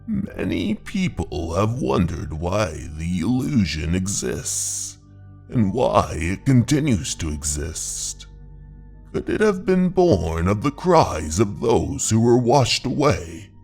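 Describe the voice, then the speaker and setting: A man voicing a grave, mysterious pipe-smoking mountain dweller in a horror manga dub, speaking in solemn, deliberate tones. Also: big pitch swings, a little mellow, exaggerated voice. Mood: grave, ominous. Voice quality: low, gravelly. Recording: music under the voice.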